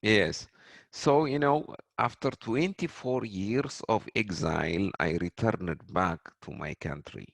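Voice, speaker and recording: A middle-aged man from Ethiopia, answering interview questions thoughtfully, with many pauses and restarts. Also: lowish voice, gravelly, fairly bright tone, dry recording. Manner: halting, many restarts and fillers. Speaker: guest.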